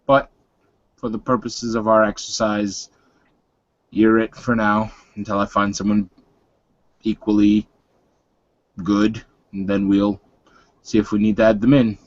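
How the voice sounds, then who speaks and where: A man plays a gruff, teasing werewolf pack leader in a tabletop roleplay. He talks very slowly and dryly in a lowish voice, on dull, close-sounding audio with no room in it. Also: level tone, no echo, lightly acted. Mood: deliberate, teasing.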